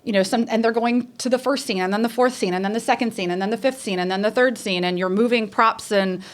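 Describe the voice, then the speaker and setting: Woman guest, lower-toned, chatty storyteller, loose and full of fillers. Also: fast. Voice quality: says she has a lower voice. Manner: lively, chatty, many fillers and restarts. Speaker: theater professor, guest. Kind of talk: casual interview storytelling.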